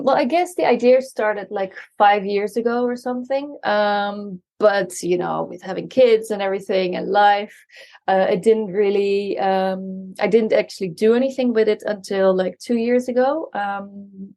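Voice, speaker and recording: A woman's voice: mid-range voice, gravelly, a little mellow. A woman in her thirties from the Netherlands, a guest chatting casually with lots of ums and restarts. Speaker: guest, marketing strategist. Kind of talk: casual conversation. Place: co-working space.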